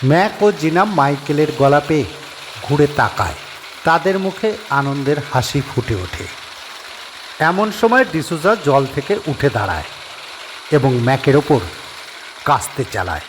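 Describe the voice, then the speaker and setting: A man narrating a horror audio story in a steady, measured storytelling voice. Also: fairly high pitch, noisy background.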